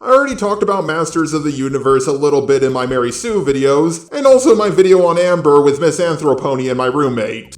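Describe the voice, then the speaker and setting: Higher-pitched synthetic male voice, sarcastic and echoey. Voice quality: synthetic robot-like voice. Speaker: video commentator, reviewer. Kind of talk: scripted sarcastic commentary.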